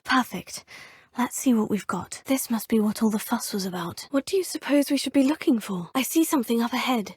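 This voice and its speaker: A courteous young student witch from a video game, her tone polite and composed. Her voice sits a little high, soft and breathy. It is recorded dry and close, with no room sound at all. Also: no echo. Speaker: player character. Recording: dry studio booth.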